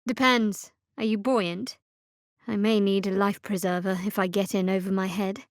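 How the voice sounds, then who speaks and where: A woman playing a guarded young half-elf cleric in a fantasy video game, with a dry, sardonic, measured delivery. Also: mid-range voice, fairly even intonation, dry recording, natural voice.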